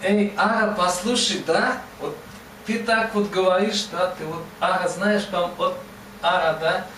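A man's voice: sits high, fairly even intonation, a little slow, bright, forceful tone, pitched above the performer's own voice. A man voicing old, bearded Confucius as a folksy sage, rambling and asking "right?" after every phrase. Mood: boastful, teasing. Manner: rambling, repeats "да?" and "вот" constantly. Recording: roomy, distant mic, ambient noise.

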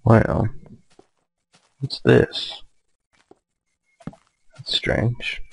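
A man giving casual, low-key let's play commentary, musing hesitantly to himself as he plays. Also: extremely slow, no echo. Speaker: gamer, let's play host.

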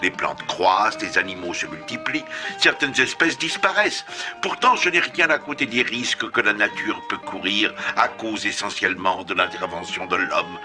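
An actor playing an earnest, modest cartoon owl schoolmaster, formal and professorial, a little hesitant. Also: male voice, fairly low pitch, expressive, moderate pace, music in the background, natural voice. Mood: didactic. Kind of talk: cartoon dub, lecturing.

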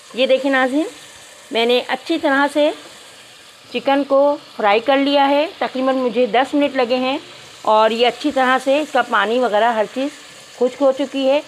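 A woman's voice: high voice, slight background noise, rough recording. A woman narrating a cooking tutorial step by step, addressing viewers politely. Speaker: cooking channel host.

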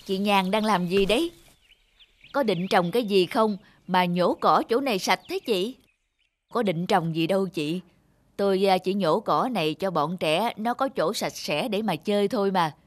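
A woman voicing characters in an animated moral story for children. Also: medium pitch, normal speed, no echo, natural voice. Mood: chatty. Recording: music under the dialogue.

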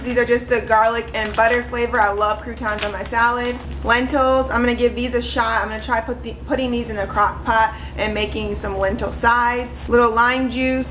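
A woman's voice: fairly high pitch, a bit bright, slight background noise, rough recording. A young woman in her twenties chatting casually in a home kitchen, holding up groceries one by one for a webcam vlog. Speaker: vlogger.

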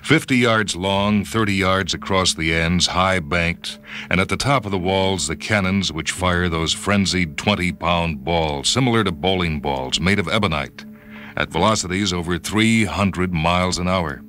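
A tough, swaggering male athlete tells his own story , as a man reads him for radio. The voice is low and hard-edged, intense and boastful, with faint music behind it. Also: unhurried, pushed and bright. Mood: grim, tough. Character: tough, boastful male star player of a brutal sport. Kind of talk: dramatic radio short story reading. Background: background music.

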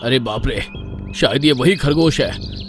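A man's voice: medium pitch, a little slow, faint music behind, natural voice. A man voicing a frightened male lion who was beaten, whining and fearful. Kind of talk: cartoon dubbing.

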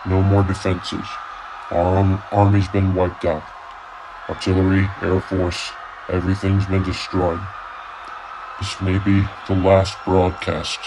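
Man acting a doomed radio reporter amid loud noise. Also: fairly low pitch, close to an everyday voice. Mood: grim, resigned. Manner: halting, stammering live eyewitness delivery. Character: doomed male radio reporter, dread turning to panic. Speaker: radio news announcer. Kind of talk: old-time radio drama broadcast.

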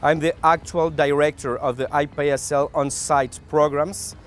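A man's voice: fairly high pitch, little pitch movement, measured pace, a little hiss or hum. A man in his forties giving a promotional introduction to camera as a program director. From Colombia or Switzerland.

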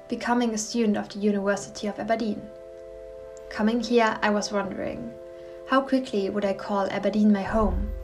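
A woman narrates calmly in a reflective, scripted voice-over. Her voice is mid-pitched, with faint music underneath.

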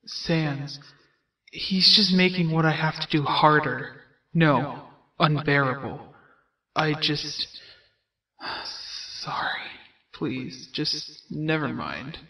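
A man voicing a world-weary, sardonic skeleton brother in a comic dub, with a hesitant, deadpan drawl. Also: on the high side, far from the mic, highs cut off. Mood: sad, reluctant. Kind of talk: comic dub character acting.

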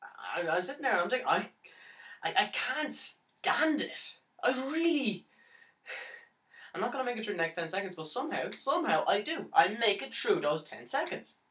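Recorded at some distance from the mic, a man acts out a lovesick young man coming apart in a stage monologue. His delivery is halting and stammering, with big swings in pitch. The tone is bright and tense, full of manic despair. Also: sing-song. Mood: anguished, pleading. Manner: halting, stammering, nervous laughs breaking into anguished outbursts. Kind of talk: dramatic monologue for an audition tape. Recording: roomy pickup, distant from the mic.

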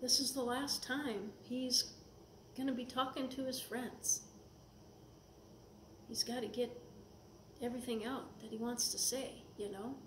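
A middle-aged woman pastor with an Upper Midwest American accent preaching a relaxed, conversational sermon in her soft voice from a small church. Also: a little high, a little slow, long pauses. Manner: conversational, gestures while preaching seated. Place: small church sanctuary, indoors. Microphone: fixed camera in front. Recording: echoey room, faint hiss.

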